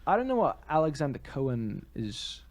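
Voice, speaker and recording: A man's voice: medium pitch, sing-song, moderate pace, darkish tone. A man co-hosting a podcast, giving articulate, opinionated commentary in casual conversation. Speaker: podcast co-host. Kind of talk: casual discussion and commentary on theatre.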